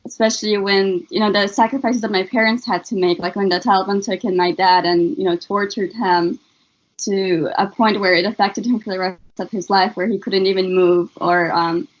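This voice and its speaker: A woman's voice: mid-range voice, steady tone, moderate pace, highs cut off. Earnest young woman from Afghanistan. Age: young adult. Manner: earnest, many um fillers. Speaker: student guest. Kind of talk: interview answers, personal story.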